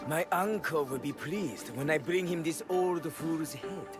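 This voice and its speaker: Man playing a high-voiced, sneering young villain. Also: measured pace, natural voice. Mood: smug, menacing. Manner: sneering, theatrical, mocking. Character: arrogant, taunting young villain warrior, boastful nephew. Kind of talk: video game cutscene acting. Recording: loud music under the voice.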